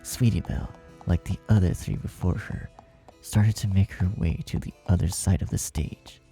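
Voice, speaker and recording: A man's voice: sits low, moderate pace, creaky, dark tone, faint music behind. A man reading a fan fiction story aloud as its narrator in a scripted style.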